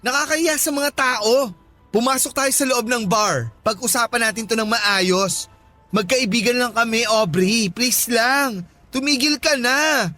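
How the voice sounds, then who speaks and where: Casting: coy secret boyfriend, very high bright voice, placating, radio drama acting, faint music under. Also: male voice, a little slow, full character voice. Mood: nervous, placating.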